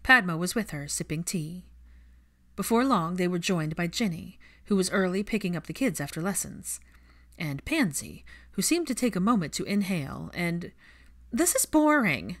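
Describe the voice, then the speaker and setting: A woman's voice: fairly low pitch, animated, moderate pace, a bit bright. A woman reading fiction aloud as an audiobook narrator, in scripted storytelling style.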